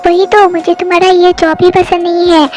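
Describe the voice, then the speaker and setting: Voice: very high voice, level tone, fairly quick, a little mellow, soft background music. A young performer voicing a stubborn middle-aged father who scolds and dismisses. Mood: stern.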